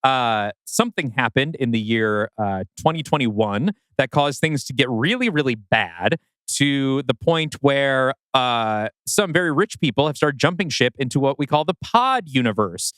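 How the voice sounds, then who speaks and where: A male podcast co-host chatting casually in a smooth, clear voice. His pitch moves around with plenty of animation as he banters wryly, and the recording is close and dry. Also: lively intonation, moderate pace, a bit bright, no room sound.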